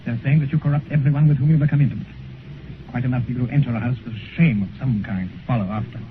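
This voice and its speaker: An actor playing an earnest, morally shocked English gentleman painter, urgent and pleading, in a 1950s radio drama. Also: medium pitch, fairly even intonation, very fast, close to an everyday voice. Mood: reproachful. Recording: noisy, muffled 1950s radio recording.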